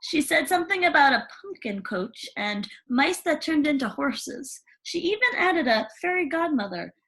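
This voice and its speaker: A woman reading a children's story aloud in an expressive, theatrical way with dramatic pauses. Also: high voice. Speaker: storybook reader.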